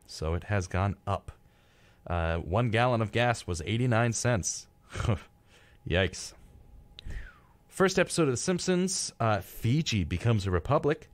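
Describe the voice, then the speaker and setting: A man with a very low-pitched voice chats casually as a radio co-host. He rambles and drops in wry reactions as he goes. The sound is close and dry, as in a studio. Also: dry recording. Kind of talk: casual, chatty live radio talk. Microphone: close studio microphone.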